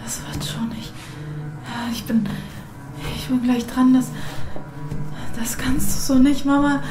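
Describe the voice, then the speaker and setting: A young daughter in an audio drama, anxious and faltering. She speaks slowly and pleadingly in an echoing space, with music behind her. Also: high-pitched, steady tone. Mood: anxious, tender. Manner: halting, repeating herself. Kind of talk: German audio drama dialogue. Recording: roomy echo, music behind.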